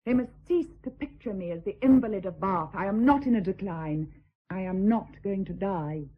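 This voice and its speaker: Period radio play: dignified, unyielding wife, breathy low register, formal phrasing, very narrow tinny recording. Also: female voice, lively intonation. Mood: firm, proud. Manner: measured, formal, resolute. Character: proud, steadfast deserted wife, dignified English noblewoman. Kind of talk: period radio drama acting.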